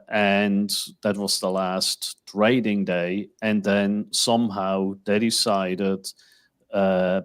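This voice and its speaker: A man giving casual market commentary as host, in a halting, hesitant way. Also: low-pitched, clear, resonant tone. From Switzerland.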